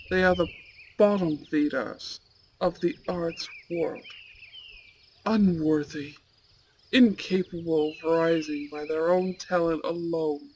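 A woman voicing a proud, imperious young male student in a roleplay audio drama, with haughty, formal and deliberate diction. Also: sits low, a little hiss or hum. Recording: steady outdoor bird and insect ambience. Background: birdsong and insects.

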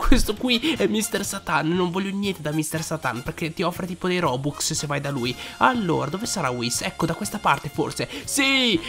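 An excitable game host giving exclamatory gameplay commentary to viewers, often repeating words. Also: mid-range voice. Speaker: gaming video host.

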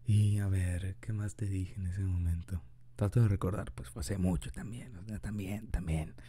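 A man's voice: low voice, steady tone, normal speed, warm tone, slightly rough. A young man improvising an ASMR boyfriend roleplay, rambling chattily and laughing often into a close mic. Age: young adult.

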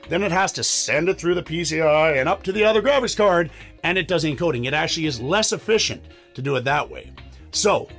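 A man in his thirties with a cold, hoarse voice, chatting through a tech tutorial step by step in a small home studio. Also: on the high side, a bit bright. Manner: chatty, explains step by step with fillers. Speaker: streaming tech YouTuber. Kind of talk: casual tech tutorial to camera.

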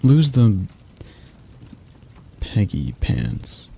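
A man playing a possessive, condescending, flirtatious mafia boss in an intimate ASMR roleplay, drawling and halting in a patronizing, domineering way. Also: fairly low pitch, wildly swooping pitch, creaky, mellow, a little hiss or hum, full character voice.

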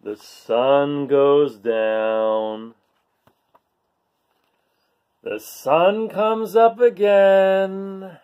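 A man voicing a bored, envious storybook barnacle with a deadpan, drawn-out, complaining delivery. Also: a little high, smooth, muffled recording. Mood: bored, gloomy. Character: bored, grumbling, envious barnacle from a picture book. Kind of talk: storybook read-aloud.

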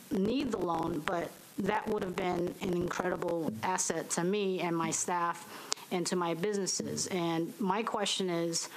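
A young adult woman testifying at a public hearing from a podium microphone, speaking haltingly with many fillers and restarts. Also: lowish voice, a little hiss or hum, poor audio. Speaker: business owner giving public testimony. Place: municipal hearing room.

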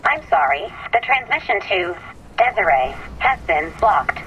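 A woman's voice: mid-range voice, big pitch swings, measured pace, a bit bright, muffled recording, slight background noise. A woman playing a literal-minded robotic assistant in a video game, polite, formal and stilted, with processed robotic sound. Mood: apologetic, impassive.

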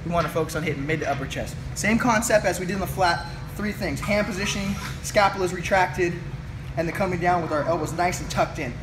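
A man's voice: high-pitched, quick pace, music in the background. A young man coaching a chest workout in a weight room, talking through the moves as he lifts. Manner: energetic, coaching while lifting. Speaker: fitness coach. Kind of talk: workout instruction. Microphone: camera mic from a few feet away. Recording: distant camera audio with room noise.

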